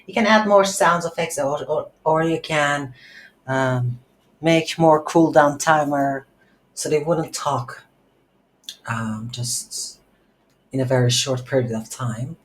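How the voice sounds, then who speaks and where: Very slow, low-voiced woman teaching. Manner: halting, thinking aloud in short phrases. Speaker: tutorial instructor. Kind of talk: unscripted screen-recorded coding tutorial. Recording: roomy echo, audible background noise.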